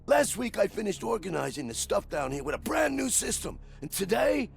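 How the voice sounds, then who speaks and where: Exasperated prison worker, gravelly, animated, griping, game voice over faint music. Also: male voice, high-pitched, normal speed, full character voice. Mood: aggrieved. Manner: foul-mouthed, griping. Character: aggrieved, grumbling prison worker nearing exasperation. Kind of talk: video game voice acting.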